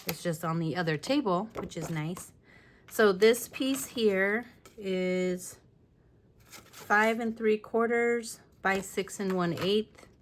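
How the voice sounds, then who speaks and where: A woman with a lingering raspy voice talking casually through a scrapbooking tutorial at home, with chatty, apologetic asides while she works. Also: mid-range voice, takes it slowly. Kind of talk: casual crafting tutorial talk. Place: home craft room. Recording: faint room noise from fan. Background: fan running.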